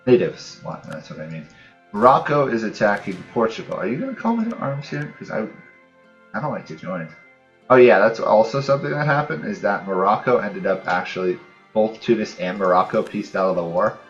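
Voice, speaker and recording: A man with a low voice gives casual gaming commentary, musing aloud as he goes. The recording is dull and roomy, with game music playing behind him. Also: expressive, normal speed. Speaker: host of a gaming channel playing a strategy game. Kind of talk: casual let's-play commentary.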